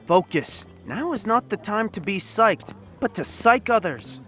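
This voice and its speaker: An actor playing a cocky, playful young space biker and best friend in an audio drama, brash, teasing and theatrical. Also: male voice, high-pitched, animated, unhurried, fairly bright tone.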